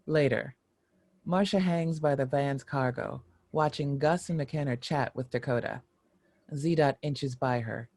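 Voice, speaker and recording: A man's voice: mid-range voice, unhurried, darkish tone, a little hiss or hum. A man giving scripted narration, reading a screenplay's stage directions.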